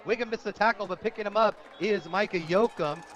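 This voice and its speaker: A man calling live high school football play-by-play for radio, describing each moment in detail. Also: brisk. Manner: descriptive, rising to shouts on big plays. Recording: dull, lo-fi radio broadcast.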